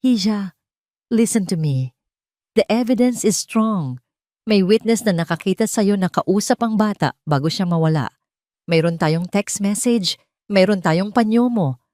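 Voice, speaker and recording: A woman voicing a smooth, emotionless male lawyer in an audio drama, persuasive and cold, mixing two languages as this figure talks. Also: medium pitch, expressive, a little slow, warm tone, dry recording, clean studio sound, voice pushed up, lightly acted. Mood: firm, insistent. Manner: smooth, cold, persuasive, code-switching between English and Tagalog.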